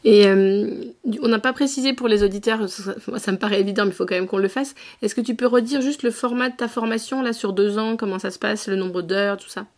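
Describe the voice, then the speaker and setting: Woman podcast host, casual and laughing, mid-pitched. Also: fairly quick, hardly any pauses, darkish tone. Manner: friendly, laughs often, casual. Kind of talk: conversational interview.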